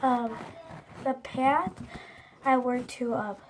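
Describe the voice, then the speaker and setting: A woman's voice: high voice, takes it slowly, slight background noise, rough recording. A teenage girl vlogging into her phone, rambling with lots of likes and restarts, sounding congested from a runny nose. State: stuffy, congested from a cold. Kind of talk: casual chat to camera.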